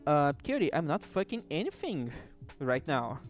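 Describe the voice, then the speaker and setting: A man's voice: a little high, very animated, normal speed. A man streaming a game late at night, chatting casually with viewers, with distinctive rolled R's and casual swearing. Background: faint background music.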